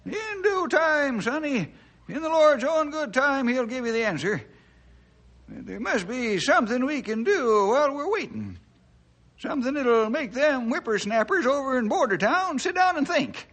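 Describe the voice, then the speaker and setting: An actor playing a folksy, excitable old sidekick in a radio drama, talking like a rustic old-timer. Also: male voice, very high voice, moderate pace, exaggerated voice. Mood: folksy, reassuring. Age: older.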